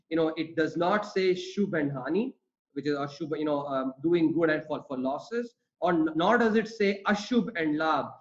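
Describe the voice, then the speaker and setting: A man whose first language is Tamil lectures earnestly over a webinar link, his voice sitting a little high for a man. He piles up fillers and restarts his phrases as he builds his points. The call audio is dull and lo-fi. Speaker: webinar speaker on economics. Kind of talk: webinar talk.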